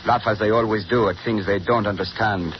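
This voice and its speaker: A man playing a bitter, cold mad scientist in a 1930s radio drama, measured and formal, on a muffled old broadcast with hiss. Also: fairly low pitch, steady tone, lightly acted. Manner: measured, coldly formal and menacing. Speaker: villain.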